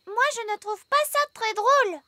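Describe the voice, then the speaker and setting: A woman's voice: very high-pitched, moderate pace, pushed and bright. A woman voicing a sweet, polite little fairy princess in a French-dubbed children's cartoon, with a childlike manner. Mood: playful.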